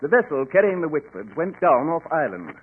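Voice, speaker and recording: A man playing a confident, insinuating claimant, speaking with deliberate drama in 1940s radio style on a tinny recording. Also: on the high side, sing-song, slight background noise. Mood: insinuating, assured. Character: smooth, insinuating male claimant, confident and calculating.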